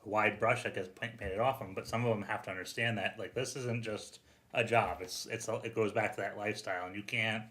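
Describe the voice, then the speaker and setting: Bright-voiced casual podcast host. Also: male voice, lowish voice, steady tone, brisk. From New York. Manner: casual, rambling, lots of "like".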